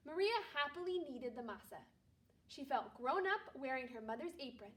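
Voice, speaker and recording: A woman teacher reading a storybook aloud to young children, speaking to them directly in an animated way. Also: high-pitched, normal speed, recorded from a distance. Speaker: second grade teacher.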